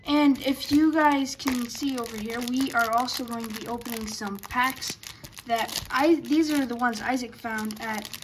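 High-voiced woman, chatty, trips over names, faint hum behind, tabletop card opening. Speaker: card collector. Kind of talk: casual card-opening commentary. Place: indoors at a table.

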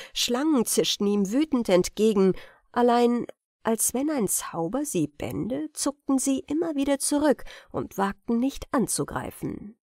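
A woman reading a fairy tale aloud as an expressive audiobook narrator. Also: fairly high pitch, dry recording, studio quality.

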